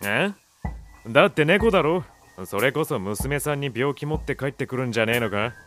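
An actor playing a blunt, impatient younger man with rough colloquial speech in an audio drama. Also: medium pitch, animated, normal speed, over music, lightly acted. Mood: blunt, questioning. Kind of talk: Japanese audio drama acting.

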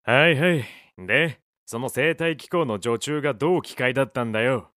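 An actor playing a blunt, impatient younger man with rough colloquial speech in an audio drama. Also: mid-range voice, moderate pace, pushed and bright, no room sound, studio quality, natural voice. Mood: dry, impatient. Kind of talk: Japanese audio drama acting.

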